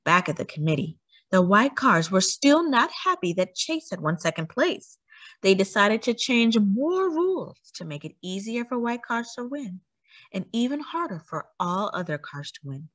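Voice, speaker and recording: A woman reading a children's book aloud as a storyteller, with expressive, emphatic delivery for young listeners. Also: medium pitch, moderate pace, dry recording.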